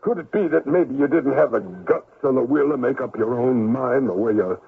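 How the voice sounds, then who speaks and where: A man playing a gruff, excitable older gold miner and father in a radio drama, talking in a folksy, rustic way. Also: medium pitch, normal speed, exaggerated voice. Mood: defensive, angry. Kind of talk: 1970s radio drama acting. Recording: tinny, faintly noisy old radio broadcast.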